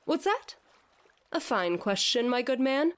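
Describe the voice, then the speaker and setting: A woman's voice: high-pitched, very animated, a little slow. An actress playing a dazed, theatrical woman who declaims in a grandiose mock-Shakespearean way in an audio drama. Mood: addled, grandiose. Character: dazed theatrical woman raving as Hamlet to a fish head. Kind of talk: scripted audio drama acting.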